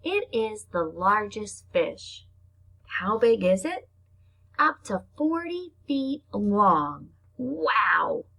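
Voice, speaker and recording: Husky woman reading to children. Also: mid-range voice, sing-song, pushed and bright. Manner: simple, child-directed explaining, slow deliberate reading alternating with chatty asides. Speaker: elementary teacher reading to pupils. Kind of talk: children's read-aloud lesson with explanations.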